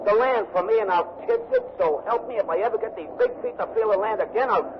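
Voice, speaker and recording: High-voiced rough old-time sailor, folksy. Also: male voice, wildly swooping pitch, fast talker. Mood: indignant, emphatic. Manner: folksy, ungrammatical, emphatic. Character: rough, plain-spoken sailor rescued from a sunken warship. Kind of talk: 1940s radio drama acting. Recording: noisy, muffled vintage radio recording.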